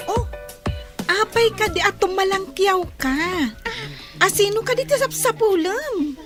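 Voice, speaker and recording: A woman's voice: extremely high pitch, unhurried, over music. A woman playing a nosy, teasing schoolgirl sidekick in an audio drama, with exaggerated delivery. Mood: puzzled, nosy.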